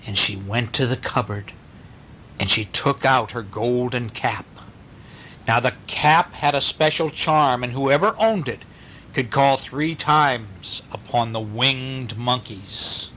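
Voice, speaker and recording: A man narrating a bedtime story in an animated, informal way, as if to a listener close by. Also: mid-range voice, takes it slowly, a little hiss or hum, poor audio.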